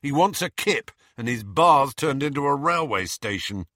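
A man's voice: mid-range voice, expressive, moderate pace, pushed and bright, no echo, clean studio sound, voice pushed up. A man voicing a cocky, joking young troublemaker in an audiobook, breezy and slangy.

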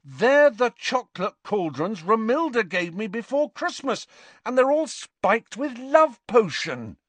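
A man's voice: a little high, very animated, unhurried, husky, no room sound, voice pushed up, full character voice. A man voicing a sensible teenage wizard boy in an audiobook, bewildered, impatient and incredulous. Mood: exasperated. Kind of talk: audiobook character voice.

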